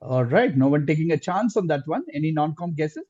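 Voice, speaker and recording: Male quizmaster, higher-pitched and very varied in tone, slightly husky, dull call audio. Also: lively intonation, a little fast, crowd noise. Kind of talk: reading quiz questions in an online quiz.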